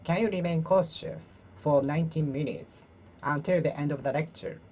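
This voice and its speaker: A woman with a Japanese accent talks to herself in English, trying out each phrase several times and fixing her own wording. Her voice sits low for a woman. A faint hiss runs underneath. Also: warm tone. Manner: repeats and reworks phrases, pausing and self-correcting. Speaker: English learner. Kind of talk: solo speaking practice, talking to herself.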